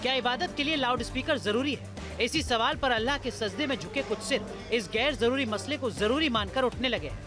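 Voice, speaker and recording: A news narrator delivers a scripted report with dramatic, rhetorical questioning. The pitch is moderate, and music plays clearly underneath the voice. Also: expressive, a little fast. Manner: rhetorical, pointed. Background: background music.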